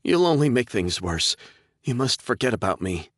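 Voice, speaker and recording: A man's voice: mid-range voice, very animated, moderate pace, breathy edge, no echo, studio quality, pitched above the performer's own voice. Tearful young woman prisoner, as a man puts her on. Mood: tearful pleading. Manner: soft, pleading, sobbing. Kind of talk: audiobook character voice.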